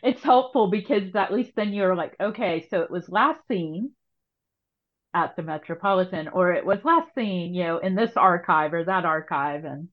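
A woman's voice: fairly low pitch, lively intonation, normal speed, narrow, tinny audio. A woman hosting a casual conversational interview, thinking aloud in a wordy, hesitant way. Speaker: podcast host.

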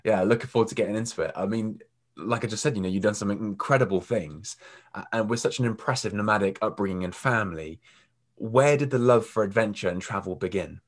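A man hosting an interview, asking friendly, halting questions with frequent fillers. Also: lowish voice. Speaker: podcast host.

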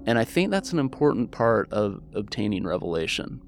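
A man's voice: fairly low pitch, very animated, a little fast, over music. An American man speaking as an interviewed historian, explaining and telling stories in an earnest, informal, conversational way. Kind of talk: conversational explaining and personal storytelling.

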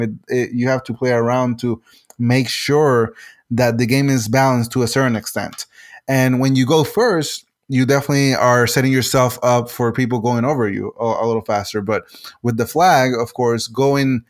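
Puerto Rican game designer, halting speech. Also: male voice, mid-range voice. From Puerto Rico. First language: Spanish. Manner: halting, many restarts and fillers. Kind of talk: casual interview answers.

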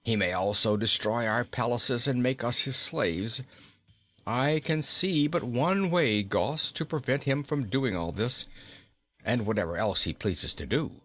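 A man's voice: mid-range voice, lively intonation, a little slow, a bit bright, dry recording. A man voicing a frightened, scheming wicked queen in a storybook, urgent and commanding. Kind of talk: storybook character reading.

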